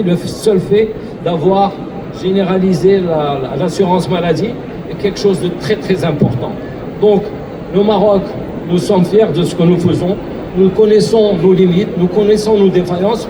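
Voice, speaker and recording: A man speaking earnestly on a public panel, often restarting and repeating words. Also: high voice. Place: event hall. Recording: distant mic with loud background noise.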